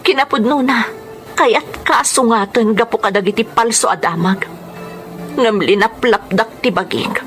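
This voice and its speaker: A woman's voice: on the high side, unhurried, a bit bright. A woman acting a hurt, dutiful daughter in an Ilocano radio drama, earnest and pleading, with an Ilocano accent. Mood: reproachful. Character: hurt, dutiful adult daughter defending her honour. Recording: music under the voice.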